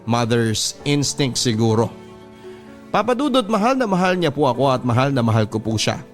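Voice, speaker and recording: Casting: Filipino male radio storyteller, mid-pitched, sentimental and measured, reading heartfelt letters over background music. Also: sing-song. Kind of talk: dramatic scripted narration of personal love-story letters. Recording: music playing under the voice.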